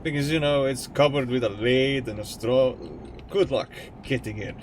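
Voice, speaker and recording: A young man with an Estonian accent chats with his stream viewers in a rambling, jokey way, at a middling pitch. The steady noise of a driving truck sits clearly behind his voice. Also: normal speed. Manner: rambling and jokey, answering chat. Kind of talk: casual chat with live chat while gaming.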